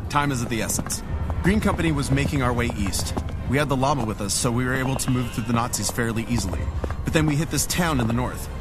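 An actor playing a determined, steadfast wounded war veteran in an audio drama. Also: male voice, mid-range voice, animated, quick pace, over music, natural voice. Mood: resolute.

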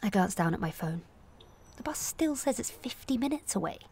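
A woman in her late twenties performing a confessional comic monologue in a candid, wry, self-questioning way, moving between confiding and animated. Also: mid-range voice, moderate pace, slight background noise. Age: late 20s. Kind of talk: confessional comic audio monologue, present-tense narration.

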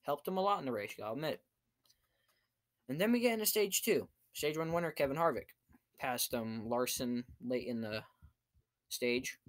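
A man's voice: mid-range voice, expressive, moderate pace, pauses often. A young man talking casually in a vlog recap, in short piecemeal phrases, at a desk in a small room. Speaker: amateur race reviewer. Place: small cluttered room indoors.

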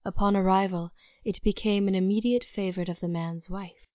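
A woman playing the composed narrator of a gothic audio drama, telling the tale in a measured, formal storytelling manner. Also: medium pitch, fairly even intonation, no echo, highs cut off, lightly acted. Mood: measured, mysterious.

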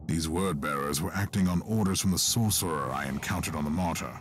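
A man's voice: extremely low pitch, fairly quick, background noise. A man playing a stern, zealous inquisitor warrior in a video game, commanding and solemn. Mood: businesslike.

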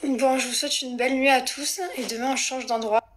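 A woman talks to her followers in a loose, rambling way, speaking right at the viewer. Her voice sits a little high. She records on a phone held at arm's length in a small indoor room, with a faint hiss behind her. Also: fairly even intonation, fairly quick, a bit bright. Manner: chatty, rambling, addresses viewers directly. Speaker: lifestyle influencer. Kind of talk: casual selfie chat to followers.

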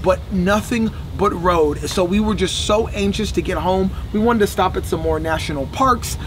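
A man co-hosting a travel vlog, recapping a road trip in an excitable, chatty way and talking straight to viewers. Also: sits high, normal speed, noisy background. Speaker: vlog co-host. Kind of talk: casual recap chat.

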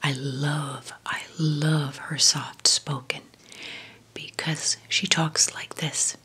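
A woman whispering gently through an ASMR question-and-answer video, talking fondly and slowly with soft fillers. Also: deep voice, fairly even intonation, slightly rough. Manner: slow, gentle, affectionate rambling with fillers. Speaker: ASMR creator.

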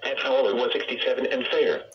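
A man's voice: mid-range voice, steady tone, fast, recorded from a distance, muffled recording. A synthetic man's voice reading a scripted weather bulletin, played through a weather radio speaker. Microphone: phone camera picking up a radio speaker. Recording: radio speaker picked up from afar, faint noise.

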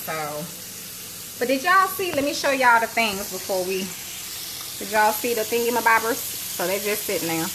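A woman's voice: on the high side, lively intonation, normal speed. A woman, talking casually to viewers while she cooks in her home kitchen on a phone livestream. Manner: chatty and playful, talks to viewers as y'all. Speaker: cooking show host. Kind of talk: casual livestream cooking chat. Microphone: phone on a tripod, close to arm's length. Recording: noisy kitchen with sizzling frying.

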